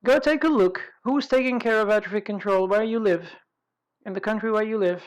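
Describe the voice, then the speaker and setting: A woman presenting an informal webinar, explaining things in a deliberate way with frequent pauses and rephrasing. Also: medium pitch, rough recording.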